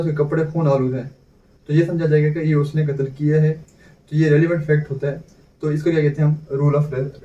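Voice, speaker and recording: Male Pakistani law tutor, slightly higher-pitched, explanatory question-and-answer delivery, muffled sound. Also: dark tone. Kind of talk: informal exam-prep lecture. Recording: dull, echoey, distant microphone.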